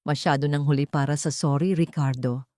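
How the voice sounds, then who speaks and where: A woman's voice: low voice, steady tone, fairly quick, dark tone, no echo, clean studio sound, natural voice. A woman voicing a cold, bitter, resolute woman doctor in an audio story, stern and cutting.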